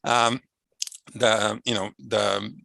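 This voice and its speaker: A man's voice: lowish voice, flat delivery, drawn-out words, gravelly, sharp tone, no echo. A man moderating an academic finance panel on a video call, speaking with many fillers and restarts. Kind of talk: academic webinar introduction. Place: indoor office or home room. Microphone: headset on a video call.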